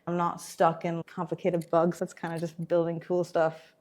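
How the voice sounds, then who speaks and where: Low-voiced Irish woman, enthusiastic. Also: completely flat delivery, a little mellow. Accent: Irish with some American. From Ireland. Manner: enthusiastic, quick to laugh. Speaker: developer relations engineer. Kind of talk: conference interview. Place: conference venue.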